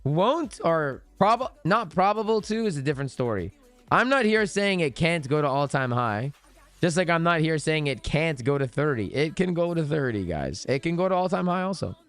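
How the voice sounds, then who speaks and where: A hoarse man with a fairly high voice chats loosely on a live stream, full of slang and swearing. He sounds worn out and low on energy. Faint music plays behind him. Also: sing-song, normal speed, a bit bright. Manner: slangy, profane, bro-talk banter. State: hoarse, lost voice. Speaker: livestream trading host reading chat. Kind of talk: casual live-stream chat.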